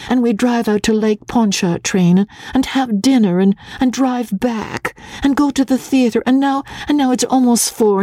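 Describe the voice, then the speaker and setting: A woman's voice: on the high side, warm tone, no room sound, studio quality, pitched above the performer's own voice, close to an everyday voice. A woman voicing a spoiled, dramatic young actress daughter with breathless, childlike pleading, in a storybook reading.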